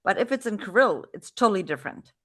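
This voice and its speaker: A woman from Germany with a German accent, hosting a relaxed video-call interview in English and talking in a chatty way with many restarts. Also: mid-range voice, fast talker, slightly rough. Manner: chatty, frequent restarts and fillers. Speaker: podcast host.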